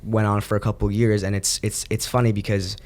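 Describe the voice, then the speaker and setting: A young man from the United States, a podcast guest, telling his story in an earnest, reflective and halting way. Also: lowish voice, flat delivery, darkish tone. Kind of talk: casual interview.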